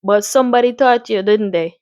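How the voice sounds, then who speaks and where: A woman voicing an old male village doctor with a Caribbean accent, unhurried and wryly questioning in a storybook reading. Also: mid-range voice, mellow, no echo, clean studio sound, natural voice.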